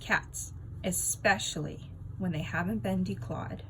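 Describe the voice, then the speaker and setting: A woman reading a children's book aloud as a storyteller, with expressive, dramatic and emphatic delivery. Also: on the low side, a little slow, slight background noise, rough recording.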